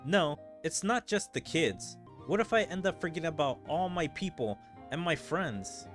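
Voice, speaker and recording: A man's voice: on the high side, animated, moderate pace, faint music behind, lightly acted. A man voicing a kindly, reflective young leader and teacher from an anime game, reading the lines aloud. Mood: pensive. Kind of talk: amateur read-aloud of anime game dialogue.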